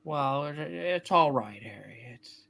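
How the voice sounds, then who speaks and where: A man acting an earnest, frustrated, excitable young man in a hammed-up play reading, stammering at times. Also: a little high, slow pace, vocal fry, full character voice. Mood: subdued. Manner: hammy, stammering.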